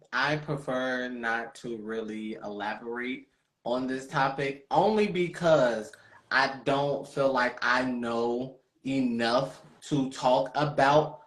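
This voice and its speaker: A man's voice: mid-range voice, a bit bright. A man hosting a casual podcast chat, speaking in a hesitant, guarded way. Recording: echoey room.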